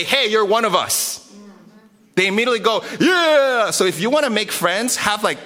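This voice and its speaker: Bright, higher-pitched male preacher. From Korea and South America. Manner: animated, halting restarts and repeated phrases. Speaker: pastor preaching. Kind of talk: casual storytelling sermon to a live congregation.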